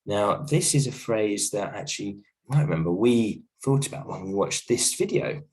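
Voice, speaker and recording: A male teacher with a croaky voice from illness, giving a gentle, reassuring video lesson from home with many ums and restarts. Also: fairly low pitch, animated, normal speed. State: croaky voice from a cold, coughing. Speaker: primary school teacher. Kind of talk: recorded video lesson for a class.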